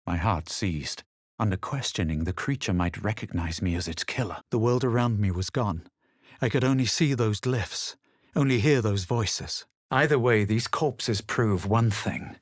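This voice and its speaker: An actor playing a smug, self-assured male adventurer in a fantasy video game, measured and dryly dramatic. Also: lowish voice, no room sound, clean studio sound. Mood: grave, brooding. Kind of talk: video game character lines and first-person narration.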